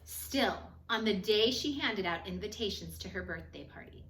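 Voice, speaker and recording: A woman reading a children's picture book aloud in an expressive, measured storytelling manner, with phrases that trail off softer. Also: medium pitch, slight background noise. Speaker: storybook reader. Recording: echoey room.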